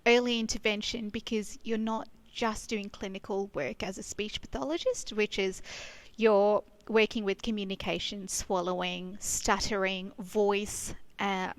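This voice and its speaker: A woman's voice: medium pitch, fairly even intonation, measured pace, hardly any pauses, no room sound. A woman in her thirties from Kenya, a speech pathologist, chatting as an interview guest with lots of fillers and restarts. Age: 30s. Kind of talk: casual interview chat. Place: regional town in Australia.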